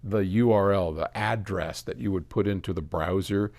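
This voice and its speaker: A man's voice: low voice, moderate pace, darkish tone. A man talking casually as a host answering listeners, explaining things conversationally and thinking aloud, with emphatic stresses on key words. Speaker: record label host answering listener questions. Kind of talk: casual explanatory talk to camera.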